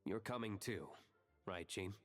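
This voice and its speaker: An actor playing a suave, composed gentleman in a video game, speaking in a measured, lightly wry way. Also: fairly low pitch, expressive. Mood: genial. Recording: long hall reverb, faint music.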